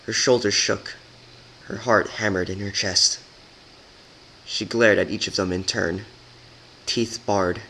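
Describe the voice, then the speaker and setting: A man's voice: lowish voice, vocal fry, sharp tone, a little hiss or hum, poor audio. A man giving a dramatic, scripted reading of a story as its narrator.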